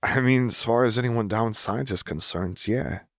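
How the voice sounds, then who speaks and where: A man performs a brash young man from the rough side of the city in an audiobook. He talks in casual, emphatic slang, exasperated and wry. The sound is close and dry. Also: mid-range voice, normal speed, dry recording, voice pushed up, close to an everyday voice. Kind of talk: audiobook character dialogue.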